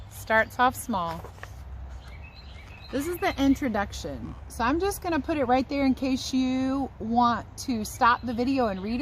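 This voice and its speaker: A woman teacher giving a chatty, expressive read-aloud lesson for young children, speaking outdoors. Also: fairly high pitch, slight background noise. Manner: chatty, expressive asides and questions to listeners. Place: outdoors in a yard.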